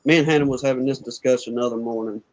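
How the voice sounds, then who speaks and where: A man's voice: medium pitch, steady tone, rapid-fire, a little mellow. A man talking casually in a livestream chat, with a dull recording. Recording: dull, lo-fi call audio.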